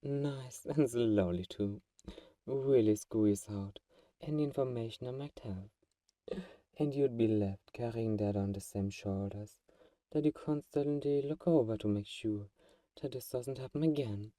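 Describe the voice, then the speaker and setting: A man playing a possessive vampire who is gentle, coaxing and condescendingly protective, in a soft-spoken, intimate ASMR roleplay. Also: medium pitch, measured pace, no room sound, distant mic, close to an everyday voice. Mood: calm, controlling.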